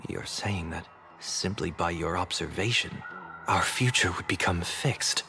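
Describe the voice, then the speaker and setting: A man voice acting a polite, probing gentleman in a game cutscene, with measured, deliberate and formal speech. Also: deep voice, gravelly, soft background music, full character voice. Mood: curious, questioning. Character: polite, probing, soft-mannered gentleman, former ruler.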